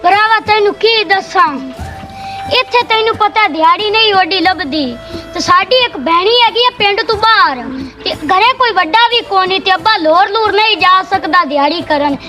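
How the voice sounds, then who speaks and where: A woman's voice: very high-pitched, normal speed, sharp tone. A woman acting a poor, downhearted young village schoolboy with a rural Pakistani Punjabi accent, complaining plainly. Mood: downhearted, explaining. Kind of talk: amateur moral drama acting. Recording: music over amateur video sound.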